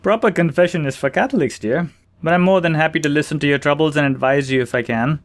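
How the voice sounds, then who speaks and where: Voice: mid-range voice, quick pace, no echo, clean studio sound, close to an everyday voice. A performer voicing a friendly, effusive, slightly flustered priest in an audiobook drama. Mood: kindly.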